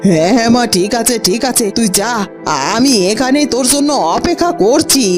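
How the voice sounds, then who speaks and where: A greedy middle-aged village aunt from a fairy-tale cartoon, played by a woman, speaks in a high voice that swoops up and down. She exclaims and bullies, with music playing loudly behind her. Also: lively intonation, brisk, close to an everyday voice. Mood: eager, greedy. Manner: exclamatory, wheedling and threatening.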